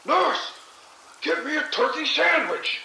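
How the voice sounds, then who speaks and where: An actor playing an agitated, demanding hospital patient in an audio drama, calling out loudly. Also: sits high, animated, slow pace, vocal fry, echoey room, slight background noise, full character voice, poor audio. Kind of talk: shouted audio drama acting.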